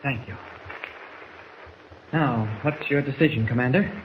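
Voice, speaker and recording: An actor playing a bold, polite young reporter in 1940s radio drama, courteous but insistent. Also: male voice, medium pitch, unhurried, lightly acted. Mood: calm, resolute. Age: young adult. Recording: dull, faintly noisy vintage radio recording.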